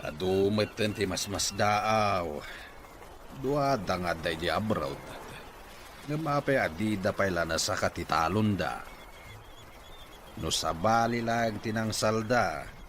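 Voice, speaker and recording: A fairly low male voice with a Filipino accent and clear background noise. A man acting as a practical farmer in a conversational radio drama. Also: expressive, slow pace, long pauses, fairly bright tone. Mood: matter-of-fact.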